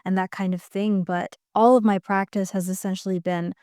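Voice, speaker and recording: Casting: a reflective woman guest on an interview podcast, smooth clear voice, dry close mic. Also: mid-range voice, level tone, moderate pace, a little mellow, dry recording. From United States. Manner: thoughtful, hesitant, many restarts.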